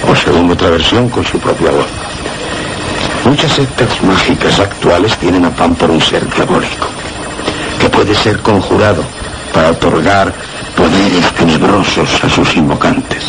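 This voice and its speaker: An actor playing an erudite, grave scholar in a Spanish radio suspense drama, speaking Castilian Spanish in a measured, didactic way. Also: male voice, deep voice, heavy background noise. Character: erudite male scholar friend, grave and explanatory.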